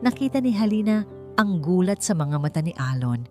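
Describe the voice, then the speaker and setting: A low-voiced woman narrates a dramatic scripted story. Her tone is dark and mellow, and soft music plays underneath. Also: big pitch swings, normal speed. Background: background music.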